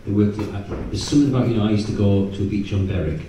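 A man musing casually on a convention panel in halting, repetitive phrases. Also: fairly low pitch, fairly even intonation, mellow, a little hiss or hum. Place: stage in a hall, recorded from audience camera. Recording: very echoey hall, distant microphone.

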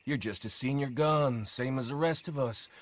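An actor playing a scheming outlaw who mocks and provokes, with a drawling, taunting manner. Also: male voice, mid-range voice, normal speed, lightly acted. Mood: smug. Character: sneering scheming outlaw man, mocking and provocative. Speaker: outlaw gang member.

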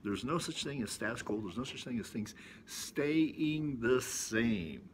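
A man's voice: medium pitch, lively intonation, unhurried, slight background noise. An older man hosting a casual vlog, rambling in a folksy, self-amused way while filming himself on a phone outdoors in a shaded rocky canyon. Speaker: vlog host. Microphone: phone camera at arm's length.

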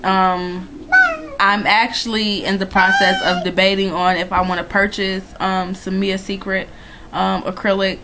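A woman's voice: mid-range voice, little pitch movement, a little slow, heavy background noise. A thirty-year-old woman chatting casually through a nail tag video from her living room, with plenty of ums. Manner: chatty, laughs often, many ums. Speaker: nail vlogger. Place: living room at home, indoors. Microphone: webcam in front of her.

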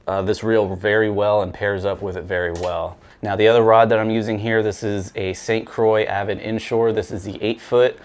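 A man in his thirties giving a relaxed, conversational review of fishing gear to the camera, with frequent fillers. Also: fairly low pitch. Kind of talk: casual product review to camera. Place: small indoor room with rod racks.

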